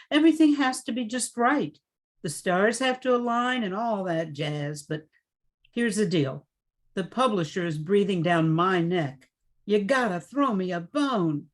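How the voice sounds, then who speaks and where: A woman playing a saucy, insistent young literary agent in an amateur radio play, blunt and colloquial. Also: mid-range voice. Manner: brisk, no-nonsense, colloquial.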